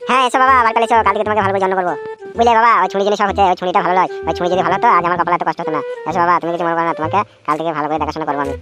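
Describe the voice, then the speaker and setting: A woman's voice: on the low side, steady tone, racing, close to an everyday voice. A woman voicing a scheming, foul-mouthed adult son in a comedy cartoon, coarse, nagging and wheedling. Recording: music playing behind the voice.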